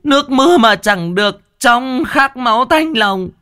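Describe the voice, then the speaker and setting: A man voicing a shrill, scheming middle-aged stepmother who screeches and wails. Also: expressive, measured pace, bright, forceful tone, clean tone, no room sound, studio quality, full character voice. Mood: wailing. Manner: screeching, wailing, scolding.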